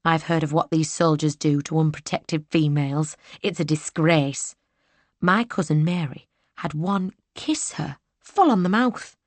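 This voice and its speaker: A woman's voice: low-pitched, a little slow, no echo. Woman reading as a loyal young maid, indignant, scolding, raised voice, dry close recording, storybook reading.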